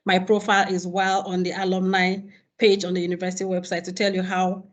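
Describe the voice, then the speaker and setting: A woman's voice: lowish voice, completely flat delivery, fairly quick. A woman candidate giving a prepared campaign statement over a video call, earnest and listing her points steadily. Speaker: rector candidate.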